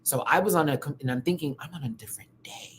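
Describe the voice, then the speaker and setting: A man's voice: on the high side, fast talker, slight background noise. An American man hosting a livestream, telling personal stories in a candid, chatty way with slang and frequent asides. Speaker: livestream host. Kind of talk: casual personal storytelling on a livestream.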